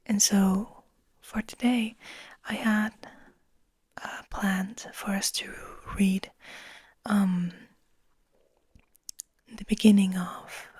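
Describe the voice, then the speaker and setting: A woman hosting ASMR, speaking in a gentle, intimate, breathy whisper at an unhurried pace. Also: mid-range voice, level tone, no echo. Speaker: ASMR host. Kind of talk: soft-spoken whispered ASMR.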